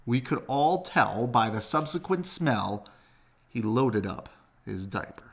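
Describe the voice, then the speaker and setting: A man reading a children's picture book aloud in an expressive storytelling way. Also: mid-range voice, slow, vocal fry.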